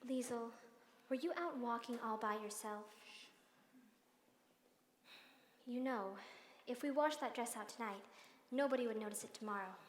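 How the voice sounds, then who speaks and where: An actress playing a young, devout governess who speaks warmly and earnestly, in classic film musical style. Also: female voice, a little high, fairly quick, slight background noise, natural voice. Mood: gentle. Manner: warm and earnest. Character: young woman governess, cheerful, devout, motherly. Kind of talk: 1960s film musical acting. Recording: roomy reverb, faint film score.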